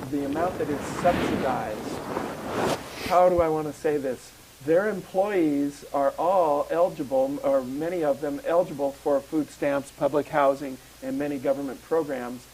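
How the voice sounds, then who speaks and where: A man co-hosting an activist talk show, hoarse from a cold, speaking casually with many pauses and fillers and reading facts aloud. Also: a little high, darkish tone, slight background noise, rough recording. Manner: hesitant, many ums, reads facts from a card. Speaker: public access show co-host.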